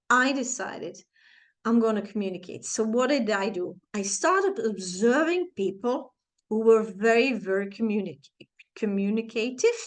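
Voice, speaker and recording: A Flemish-accented woman in her forties tells a story from her own life in English, which is not her first language. Her voice sits a little high, and she talks in halting bursts, searching for words, restarting her phrases and adding playful little sound effects. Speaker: channel host, life coach. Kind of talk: personal storytelling to camera.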